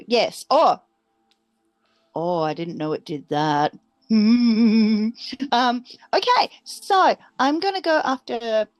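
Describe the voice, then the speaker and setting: A woman's voice: fairly high pitch, dry recording. A woman playing a tabletop roleplaying game, chatting casually and thinking aloud hesitantly.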